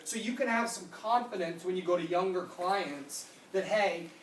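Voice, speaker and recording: Trainer in their thirties, explanatory presenter, quick yep-and-nope answers, mid-pitched voice, roomy echo, picked up from a distance, faint background noise. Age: 30s. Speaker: insurance product trainer. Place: conference room.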